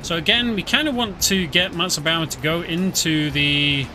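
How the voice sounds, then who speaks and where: A man's voice: fairly high pitch, moderate pace. A man casually commentating a video game stream, explaining the story as he plays. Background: game music.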